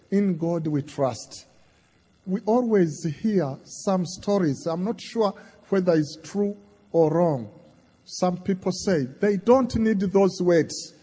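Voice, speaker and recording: A man from Tanzania whose first language is Kiswahili, preaching a sermon in short, deliberate phrases as a visiting church leader. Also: high-pitched, warm tone, slightly rough. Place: large church. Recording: long church reverb.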